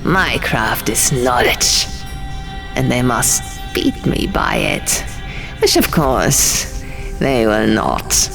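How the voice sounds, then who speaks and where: Woman voicing a slow, imperious old male scribe. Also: extremely low pitch, expressive, creaky, music in the background, pitched below the performer's own voice, heavily acted, poor audio. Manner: slow, solemn, condescending. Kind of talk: audiobook character voice.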